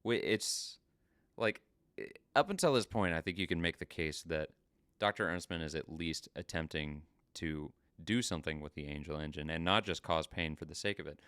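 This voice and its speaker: A man with a very low, clear voice hosts a podcast. He recaps stories casually and with swearing, often halting as he explains. The sound is close and dry. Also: a bit bright, no echo. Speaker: podcast host. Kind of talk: casual review and story recap.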